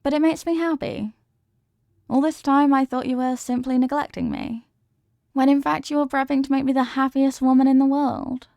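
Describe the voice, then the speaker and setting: A woman's voice: high-pitched, level tone, normal speed, darkish tone, no room sound. A woman playing an obsessively loving, possessive wife in an intimate ASMR roleplay, coaxing and melodramatic, lingering on her words. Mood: touched, delighted.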